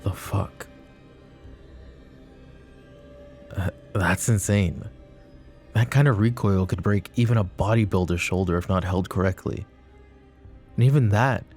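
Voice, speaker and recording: Man playing a very deep, brooding mafia boss. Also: normal speed, a little mellow, soft background music, close to an everyday voice. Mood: baffled.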